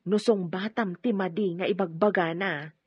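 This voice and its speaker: A woman acting a patient, apologetic poor mother in an Ilocano audio drama, with a husky voice. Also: lowish voice, fairly even intonation, moderate pace, no echo. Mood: soothing, concerned. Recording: dull, lo-fi dry recording.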